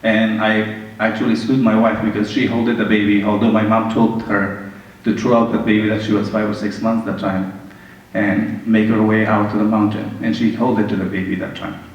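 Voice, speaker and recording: A man telling his refugee story in a plain, steady speech. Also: fairly low pitch, moderate pace, highs cut off. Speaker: speaker sharing refugee story. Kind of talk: speech at an event. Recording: echoey hall from far off.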